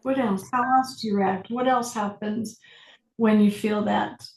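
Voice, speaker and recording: A woman facilitating an online group session, guiding people through questions in a calm, slow, deliberate way. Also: medium pitch, creaky, roomy sound, poor audio. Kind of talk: guided inquiry on a group video call.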